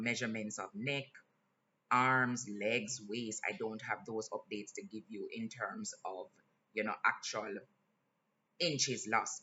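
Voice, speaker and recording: A man with a Jamaican accent speaks straight to his viewers in an earnest, candid vlog, stopping now and then with fillers as he thinks. His voice sits on the low side yet comes across bright and forceful. The sound is a little dull and lo-fi, with a faint hiss underneath. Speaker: vlogger. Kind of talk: casual vlog monologue.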